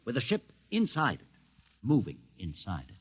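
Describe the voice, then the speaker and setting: An actor playing a crippled male mutant leader in a 1950s radio drama, measured, ironic and commanding. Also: medium pitch, huge pitch swings, husky. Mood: probing. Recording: old 1950s radio broadcast.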